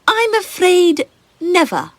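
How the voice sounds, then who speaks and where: A woman's voice: very high voice, huge pitch swings, slow, dry recording, voice pushed up, heavily acted. A woman voicing a scheming, theatrical noblewoman and stepmother queen in a fairy-tale storybook. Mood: falsely sorrowful. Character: scheming noblewoman, falsely tender, turned cruel stepmother queen.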